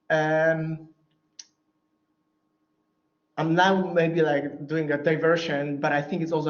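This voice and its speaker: A man whose first language is Polish answers audience questions after a keynote lecture, thinking aloud with many fillers and restarts. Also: fairly high pitch. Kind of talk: academic Q&A answer. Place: lecture hall. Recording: roomy, dull lecture hall audio.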